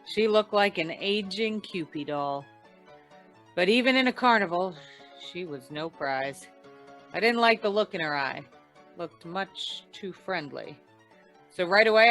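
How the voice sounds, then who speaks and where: A woman playing a wry, shrewd hard-boiled insurance investigator in deadpan 1940s radio noir style. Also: medium pitch, rough recording.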